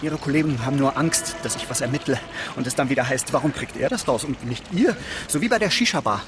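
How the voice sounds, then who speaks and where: A man's voice: mid-range voice, lively intonation, quick pace, music in the background, close to an everyday voice. Aggrieved, insistent male amateur sleuth, played by an actor. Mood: bitter, frustrated. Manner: insistent, resentful. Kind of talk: naturalistic radio drama acting.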